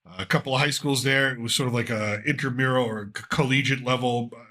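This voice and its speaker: A man's voice: medium pitch, fairly even intonation, fast talker, sharp tone. A man hosting a casual talk show, commenting on news in a loose, rambling way, and congested from a cold. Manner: loose, rambling, with frequent uh fillers. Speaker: podcast host.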